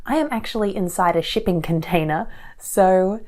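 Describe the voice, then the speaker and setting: A woman in her thirties, slightly raspy as she gets over a cold, chatting casually and rambling a little to camera from a small shipping-container hotel room. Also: medium pitch, normal speed, a little mellow. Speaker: aspiring fiction author and channel host. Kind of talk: casual talking-to-camera vlog. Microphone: action camera's built-in mic.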